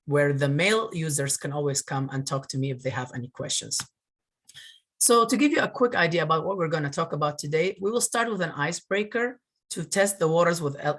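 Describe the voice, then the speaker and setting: A man's voice: on the high side, fairly bright tone. Earnest man presenting a webinar. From northern Iraq. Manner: earnest, grateful, many fillers. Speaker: webinar presenter, career coach. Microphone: video call.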